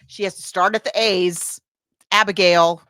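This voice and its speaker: A woman's voice: medium pitch, steady tone, unhurried, sharp tone, dry recording. A woman hosting a podcast, chatting casually and retelling a story in a rambling way. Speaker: podcast host. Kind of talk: casual chat recounting a film plot.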